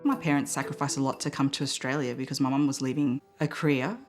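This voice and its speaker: Very deep-voiced woman. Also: fairly even intonation, quick pace. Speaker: interviewee, sister. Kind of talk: documentary interview. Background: background music.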